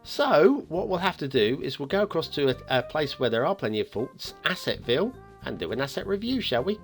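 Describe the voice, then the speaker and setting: A man's voice: a little high, sing-song, fairly quick, vocal fry, soft background music. A man hosting a game map review in a chatty, playfully teasing way, commenting casually and off the cuff.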